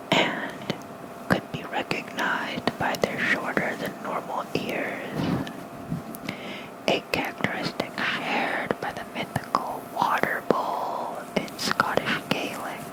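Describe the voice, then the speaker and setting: An ASMR creator softly reading folklore aloud in a hushed, unhurried bedtime whisper. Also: rough recording.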